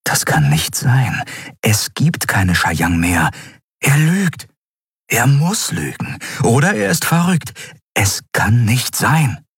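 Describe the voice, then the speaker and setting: A man voicing a harsh, angry woman who interrogates a captive in a sharp, demanding, desperate manner in an audiobook. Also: low voice, expressive, slow pace, slightly rough, no room sound, studio quality, full character voice. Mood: shaken disbelief.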